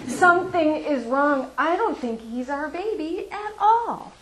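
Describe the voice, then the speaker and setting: A woman's voice: sits high, expressive, measured pace, rough recording. A woman voicing a fussy, worried mother bird in a storybook read aloud to a congregation. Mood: puzzled.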